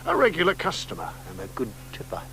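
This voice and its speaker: An actor playing a gentle, pious gondolier who was once a choirmaster, in a radio play. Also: male voice, mid-range voice, wildly swooping pitch, fast, soft background music. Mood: apologetic. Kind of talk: radio drama acting. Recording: radio play with faint hiss.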